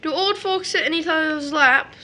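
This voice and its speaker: A woman's voice: high voice, moderate pace, sharp tone. A woman playing a sweet, earnest little boy in an audio drama, with a childlike, eager way of talking.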